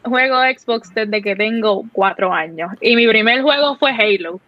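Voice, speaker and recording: A woman's voice: a little high, measured pace, no echo. Young Puerto Rican female guest, easygoing, on a muffled lo-fi line. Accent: Puerto Rican Spanish. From Puerto Rico. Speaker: streamer guest. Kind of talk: casual chat. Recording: dull lo-fi audio.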